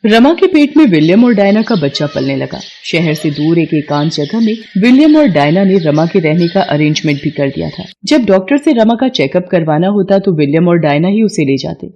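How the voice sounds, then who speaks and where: A woman's voice: lowish voice, very animated, moderate pace, hardly any pauses, mellow, highs cut off, slight background noise. A woman narrating a scripted moral story as its storyteller.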